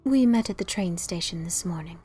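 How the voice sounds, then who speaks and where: A woman playing a calm, wise and mysterious female pony, speaking formally and with gentle, measured probing in an audio drama. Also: lowish voice, breathy edge, faint music behind. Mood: quiet, polite. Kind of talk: audio drama dialogue of fan fiction.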